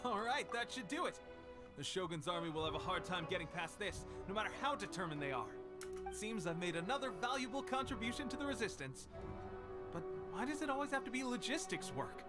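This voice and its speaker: An actor playing an eager, self-important resistance soldier hungry for glory in a video game. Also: male voice, sits high, very animated, fairly quick, loud music behind the voice. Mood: proud, grumbling.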